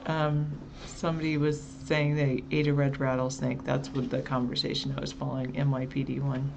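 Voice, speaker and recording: Woman co-host, very deep gravelly voice, relaxed and chatty, with a faint hiss behind. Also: normal speed. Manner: relaxed, chatty, answers viewers by username. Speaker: chat show co-host reading viewer chat. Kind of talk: casual live chat show.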